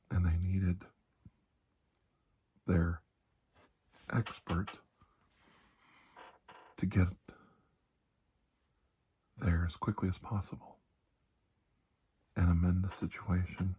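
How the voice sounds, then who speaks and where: A man playing a calm, kindly doctor in a soft-spoken ASMR roleplay, reassuring and deliberate, with long pauses and breathy slips into whispering. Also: sits low, exaggerated voice. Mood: reminiscing. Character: calm, kindly, ageless male doctor of alien medicine.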